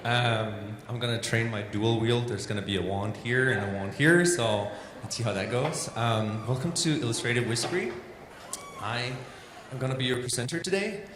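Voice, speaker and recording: A Danish-accented man presents an informal talk in English, chatty and self-deprecating, padding his sentences with "like" and "um". His voice carries a long reverb, as if he were in a large hall. A steady background hiss sits under everything. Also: mid-range voice, normal speed. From Denmark. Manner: loose and chatty, many "like" and "um" fillers, self-deprecating.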